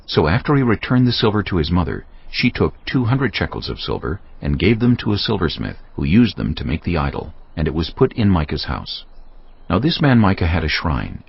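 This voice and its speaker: A man's voice: low-pitched, creaky, breathy edge, muffled recording. A man narrating scripture as a dramatised audiobook in a solemn storytelling manner. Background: music.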